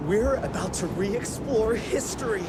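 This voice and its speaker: An American actor playing an eager, nervous, bookish young inventor sidekick in a video game, wordy and easily flustered. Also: male voice, sits high, a little slow, very noisy. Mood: awed. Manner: flustered, wordy, excitable, deferential. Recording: loud music behind the voice.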